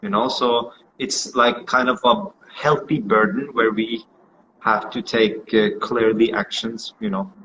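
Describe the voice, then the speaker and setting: A man's voice: mid-range voice, lively intonation, unhurried, sharp tone, lo-fi sound, a little hiss or hum. A man with a Norwegian accent, answering interview questions in a hesitant way with many fillers. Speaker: dancer being interviewed. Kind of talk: casual interview.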